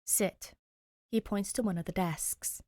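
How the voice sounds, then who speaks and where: A woman reading fiction aloud as an expressive podfic narrator. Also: fairly low pitch, normal speed, no echo, studio quality. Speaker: podfic reader. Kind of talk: expressive scripted story narration.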